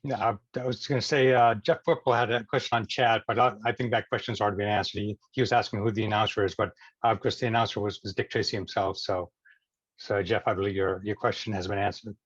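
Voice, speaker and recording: A man moderating an online meeting informally, with a hesitant, halting delivery. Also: fairly low pitch, fairly even intonation, no echo. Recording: dull lo-fi video call audio.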